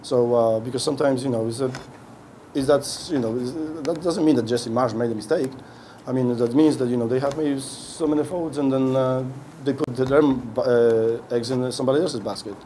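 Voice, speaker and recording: A middle-aged man, a head coach, answering questions at a post-game press conference, speaking haltingly with many restarts as he searches for words. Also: mid-range voice, steady tone, mellow, slight background noise, rough recording. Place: indoor press room. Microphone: podium mic on a stand.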